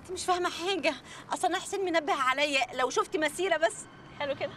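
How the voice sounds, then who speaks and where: A woman's voice: extremely high pitch, rapid-fire, sharp tone. An actress with an Egyptian accent playing a nervous, stammering young woman, recorded from a distance over a noisy crowd. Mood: anxious. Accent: Egyptian Arabic. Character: jittery anxious young woman friend. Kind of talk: comedy film acting.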